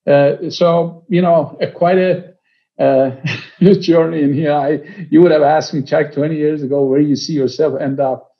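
A man in his sixties from Iran with an Iranian accent, speaking conversationally as an interview guest, with halting phrases and frequent pauses. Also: fairly high pitch, fairly even intonation. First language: Persian. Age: 60s. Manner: halting, pausing often mid-phrase. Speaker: interview guest, company executive. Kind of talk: conversational life-story interview. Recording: slightly dull, lo-fi audio.

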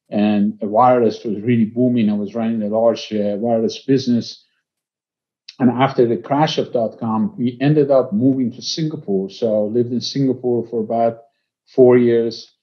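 Halting Iranian-accented man in his sixties. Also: mid-range voice. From Iran. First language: Persian. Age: 60s. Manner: halting, pausing often mid-phrase. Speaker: interview guest, company executive. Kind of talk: conversational life-story interview. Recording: slightly dull, lo-fi audio.

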